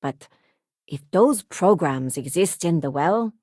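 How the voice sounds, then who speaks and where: A woman voicing an idealistic, friendly young starship crew companion in a video game, speaking in an earnest, expressive, conversational way. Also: fairly low pitch, slow, breathy edge, no echo, studio quality, exaggerated voice. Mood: earnest opinion. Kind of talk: scripted video game character voice lines.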